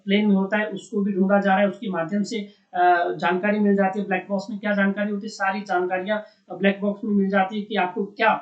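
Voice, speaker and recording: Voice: mid-range voice, level tone, quick pace. An educator giving an informal explainer on the news in a didactic way, repeating points and often adding little check-in tags. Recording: dull lo-fi sound.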